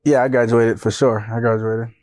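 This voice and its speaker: Guest: man, 30s, from Haiti via Florida, laid-back storyteller, even monotone, dry close sound. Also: mid-range voice, normal speed, no room sound. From born in Haiti, raised in Broward County, Florida. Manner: laid-back, often says "you know what I'm saying". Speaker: music manager and guest. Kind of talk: casual interview storytelling.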